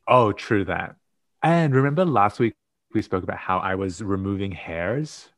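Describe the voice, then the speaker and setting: A man's voice: on the low side, moderate pace, a bit bright, no room sound, clean studio sound. A man from Australia whose first language is Mandarin, co-hosting a casual podcast in a playful, camp, mock-dramatic way with frequent laughter. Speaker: podcast co-host.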